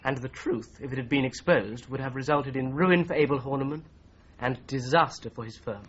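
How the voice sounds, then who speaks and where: An actor playing a shrewd, methodical solicitor in a 1980s radio drama, with an English accent and a precise, measured, probing manner. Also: male voice, mid-range voice, slightly rough, lightly acted. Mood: firm, pressing. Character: shrewd, methodical male solicitor turned amateur investigator. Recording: slightly dull 1980s radio recording.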